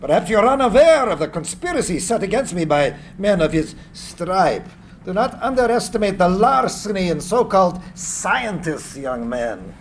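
A man's voice: high voice, sing-song, moderate pace, slight background noise. A man reading the part of an eccentric, suspicious aging inventor at a live script reading. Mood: wary, proud. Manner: theatrical, wary, chuckling. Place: gallery room with seated audience.

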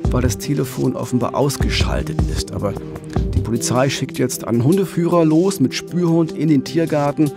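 A man's voice: medium pitch, moderate pace, vocal fry, music in the background. A middle-aged man hosting a true-crime podcast, telling the story conversationally into a studio microphone. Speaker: podcast host. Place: radio studio. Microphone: studio microphone up close with pop filter.

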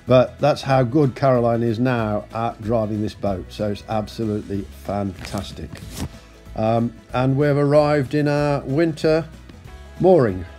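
A man casually narrating his boat's arrival at a marina, relaxed and full of ums and uhs. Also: medium pitch, unhurried, soft background music. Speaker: sailing vlogger. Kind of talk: casual narration over footage.

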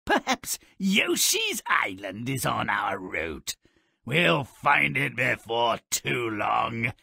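Gravelly, wildly animated sardonic gremlin storyteller. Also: male voice, mid-range voice, slow, no echo, clean studio sound, exaggerated voice. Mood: gleeful sarcasm. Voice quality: raspy, gravelly. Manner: gleefully macabre, sarcastic, swooping delivery. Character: sardonic, mischievous grotesque dwarfish fairy-tale narrator creature. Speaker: character narrator. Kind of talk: theatrical video game narration.

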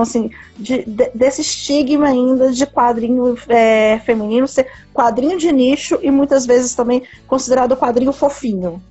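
A woman's voice: on the high side, a little mellow, slightly rough. A middle-aged woman from Brazil hosting a live-stream interview, asking hesitant, searching questions over a video call. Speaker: host, art teacher. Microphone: laptop webcam on video call. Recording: dull lo-fi video call audio.

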